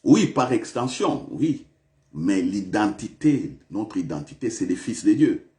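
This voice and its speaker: A man's voice: fairly low pitch, very animated, measured pace, warm tone. A male pastor preaching a sermon in a church, repeating questions to the congregation with big swings of emphasis.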